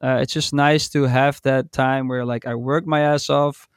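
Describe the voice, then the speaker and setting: A man, a DJ, chatting in a relaxed, rambling way on a podcast. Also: medium pitch, moderate pace, clear, resonant tone, no room sound, studio quality. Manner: relaxed, rambling, with fillers and laughs. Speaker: DJ and podcast co-host. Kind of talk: casual podcast chat.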